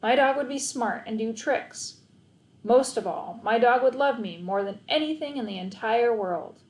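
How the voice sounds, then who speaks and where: A woman narrating a children's novel aloud in an expressive, storytelling style. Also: a little high, moderate pace, reverberant, poor audio. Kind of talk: expressive children's audiobook narration, first person.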